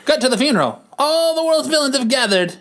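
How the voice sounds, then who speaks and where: A man's voice: very high voice, animated, fast talker, background noise. A man narrating a comic book as a podcast host, theatrical and amused, reading panel descriptions for laughs.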